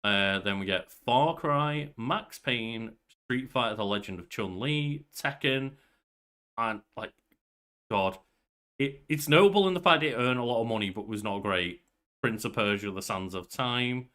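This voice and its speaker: A man's voice: medium pitch, lively intonation, a little slow, a bit bright. A young man co-hosting a podcast, chatting casually from a room on a video call. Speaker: podcast co-host. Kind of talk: casual movie chat.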